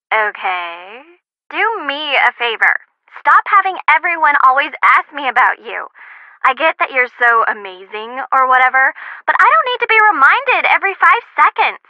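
High-pitched, snarky young student. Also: female voice, no room sound, muffled recording. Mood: matter-of-fact, then resentful, mocking. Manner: sarcastic, snarky, dramatic. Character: sarcastic young female student, snarky and grudgingly helpful. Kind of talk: video game voice acting, phone dialogue.

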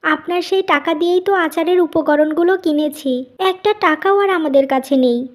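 A very high-voiced young wife played by a woman in a cartoon family drama. She speaks with earnest, pleading feeling and melodramatic weight. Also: normal speed. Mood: distressed, pleading.

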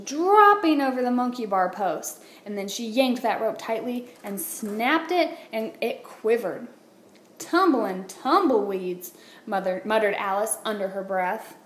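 Echoey, higher-pitched woman reading a storybook. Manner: expressive, deliberate phrasing. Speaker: teacher reading a picture book. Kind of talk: read-aloud storytelling for children. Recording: roomy echo, light background noise.

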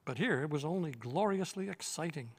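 An older man reading a children's novel aloud in a measured, expressive way, seated in an armchair on a studio set. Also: on the high side, breathy edge, big-room sound. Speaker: reader.

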